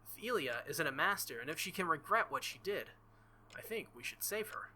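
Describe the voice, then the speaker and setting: A man's voice: fairly high pitch, lively intonation, fairly quick, sharp tone, a little hiss or hum. A man reading a visual novel aloud as a let's-play host, theatrical and jokey, with casual asides. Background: faint game music.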